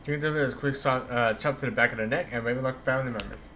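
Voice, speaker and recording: Male game streamer, rapid casual commentary, bright forceful voice, reading on-screen text, faint noise behind. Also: mid-range voice.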